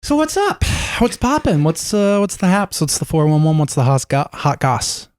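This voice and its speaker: A young man, joking around as a podcast co-host, his voice a bit scratchy and hoarse. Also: fairly high pitch, big pitch swings, moderate pace, darkish tone, no room sound, clean studio sound. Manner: playful, jokey, campy. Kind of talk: casual chat.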